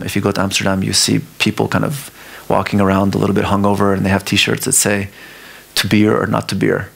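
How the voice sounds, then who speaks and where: A man's voice: lowish voice, gravelly, fairly bright tone. A man whose first language is Farsi gives a talk about his art at a museum, often restarting his sentences as he thinks aloud. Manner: halting, restarts often, talks through ideas. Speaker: artist giving a talk. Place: museum lecture hall.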